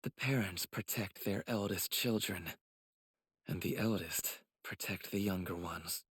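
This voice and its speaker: A man playing a cold, controlling young spider demon in an anime game, speaking softly and with condescension. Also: low voice, breathy edge, no echo, full character voice. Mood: cold, menacing. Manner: menacing, condescending, deliberate. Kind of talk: English anime game voice acting.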